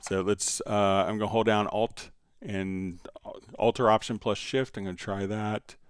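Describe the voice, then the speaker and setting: Low-voiced man hosting a software tutorial. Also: fairly even intonation, unhurried, clean tone, dry recording, studio quality. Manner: conversational, step-by-step explaining. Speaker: livestream host, software instructor. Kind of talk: casual live tutorial, answering viewer questions.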